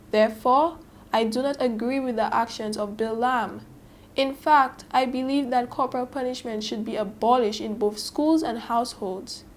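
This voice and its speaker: A teenage girl from Saint Lucia with a Saint Lucian accent reads aloud in a fairly high voice. Her delivery is scripted, careful and emphatic. There is slight noise in the recording. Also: darkish tone. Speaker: student reader. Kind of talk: scripted reading aloud and commentary.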